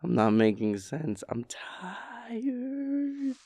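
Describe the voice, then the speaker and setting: Guy doing a sleepy goofy boyfriend roleplay, gravelly n breathy, slow theatrical rambling, close dry sound. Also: high-pitched, huge pitch swings, no echo, exaggerated voice. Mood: tired, theatrical. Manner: mock-dramatic, giggly, flowery wording, swinging into hushed breathy asides. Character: playful sleepy chaotic boyfriend, dramatic and silly.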